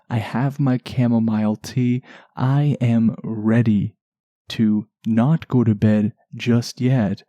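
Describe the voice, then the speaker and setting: A man hosting a relaxation podcast in a soft, soothing voice, chatting gently and without hurry, lulling enough to send listeners to sleep. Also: lowish voice, no room sound. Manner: gentle, unhurried, chatty asides. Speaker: podcast host.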